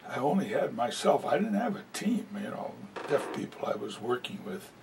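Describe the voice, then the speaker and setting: A man's voice: big pitch swings, moderate pace, vocal fry. A man voicing a presentation as a sign language interpreter, talking conversationally with many fillers. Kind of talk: live spoken interpretation of a talk. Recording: distant mic with faint low rumble.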